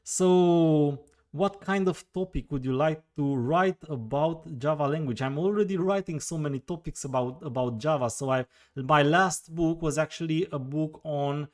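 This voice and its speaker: A man's voice: fairly high pitch, normal speed, hardly any pauses, darkish tone. A man with a Romanian accent hosting a live question-and-answer session, thinking aloud with frequent fillers and repeated words. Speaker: host answering viewer questions.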